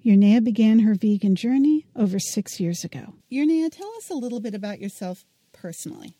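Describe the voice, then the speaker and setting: A woman hosting a podcast, reading a scripted welcome and asking gentle, soft-spoken questions. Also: medium pitch, animated, brisk, no room sound. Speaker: podcast host. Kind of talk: scripted intro and interview questions.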